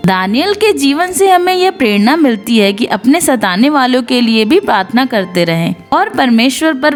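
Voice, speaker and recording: Woman storyteller, high voice, earnest devotional narration, scripted delivery, background music. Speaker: narrator of Bible stories.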